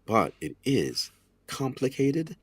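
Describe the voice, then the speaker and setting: An actor playing a smooth, condescending estate lawyer in an audio drama, with precise, formal, patronizing speech. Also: male voice, medium pitch, wildly swooping pitch, slow, no echo, full character voice. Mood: smug, reassuring. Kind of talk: audio drama acting, period dialogue.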